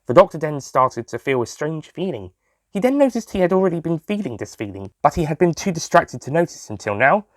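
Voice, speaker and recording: A man's voice: a little high, big pitch swings, fairly quick, no echo, studio quality. A man reading scripted story narration. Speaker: writer and narrator.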